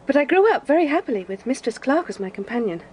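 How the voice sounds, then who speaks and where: An actress playing a sorrowful, gentle young gentlewoman in a period audio drama, earnest and wistful. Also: sits high, sing-song, fast talker, slight background noise. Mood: wistful, confiding.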